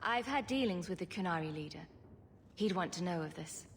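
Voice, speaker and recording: An actress playing a wry, self-assured young mercenary in a video game, with a dry, sardonic delivery. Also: female voice, on the low side, expressive, brisk, slight background noise. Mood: matter-of-fact.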